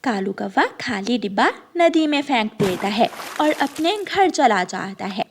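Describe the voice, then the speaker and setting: A woman's voice: on the high side, expressive, a little slow, slight background noise. A woman narrating a scripted children's cartoon story as its storyteller.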